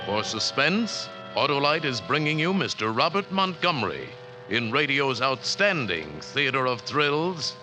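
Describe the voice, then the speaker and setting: A man announcing formally on a vintage radio broadcast, in a measured, dramatic way. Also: mid-range voice, sing-song, over music.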